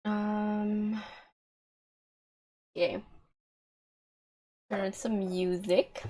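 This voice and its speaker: A woman giving casual live-stream game commentary in a hesitant, rambling, deadpan way. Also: mid-range voice, drawn-out words, rough recording.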